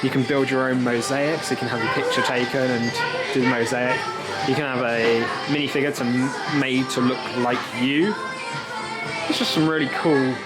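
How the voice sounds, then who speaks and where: A man's voice: medium pitch, steady tone, normal speed, rough recording. A young British man chatting casually to camera as a vlogger, outdoors on a camera held at arm's length. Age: young adult. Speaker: theme park vlogger. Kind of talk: casual vlog chat to camera. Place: outdoors in a theme park. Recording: outdoor vlog with music behind.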